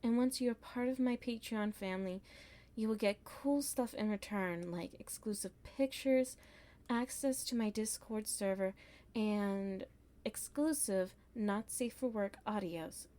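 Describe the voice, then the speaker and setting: A woman's voice: mid-range voice, unhurried, mellow. A woman ASMR creator talking directly to her viewers in a channel outro.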